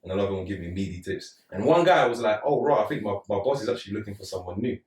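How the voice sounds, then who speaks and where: A young man chats on a podcast, his voice swinging widely in pitch and jumping high whenever he gets excited. He talks in a loose, slangy way. He sits back from the mic, so the sound carries a roomy echo. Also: racing. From England. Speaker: podcast co-host. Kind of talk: casual chat. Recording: echoey room, mic at a distance.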